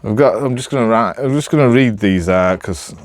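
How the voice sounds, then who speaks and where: A man's voice: lowish voice, brisk, no echo, studio quality. A man with a Northern English accent chatting casually to camera, rambling in a hesitant, self-deprecating way. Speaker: amateur vlogger.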